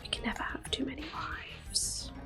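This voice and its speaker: A young woman whispering gentle, unhurried commentary while playing a video game for an ASMR video. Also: over music. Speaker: ASMR gaming host.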